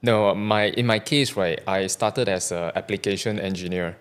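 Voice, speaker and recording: A candid podcast guest in his thirties, a Malaysian man with a Malaysian English accent. His voice sits fairly low. He talks casually, doubling back on words and tagging sentences with "right?". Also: normal speed, smooth. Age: 30s. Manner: candid, repeats words, often ends with "right?". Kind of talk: casual interview conversation.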